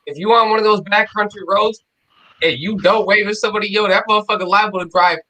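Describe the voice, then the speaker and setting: A man's voice: sits high, steady tone, fast talker, sharp tone, slight background noise, rough recording. A man talking in slangy, profane street style during a casual chat.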